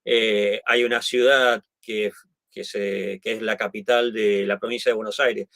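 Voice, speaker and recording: A man's voice: lowish voice, level tone, a little slow, vocal fry, fairly bright tone, dry recording. A middle-aged man from Argentina with an Argentine Spanish accent, explaining things in conversation with many restarts and tag questions. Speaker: guest.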